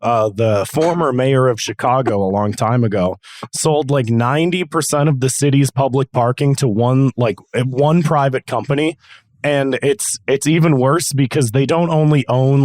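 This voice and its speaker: A man's voice: medium pitch, darkish tone, dry recording. A man co-hosting a podcast while sick and losing his voice, chatting casually and explaining things in a rambling way full of fillers. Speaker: podcast co-host. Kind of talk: casual chat.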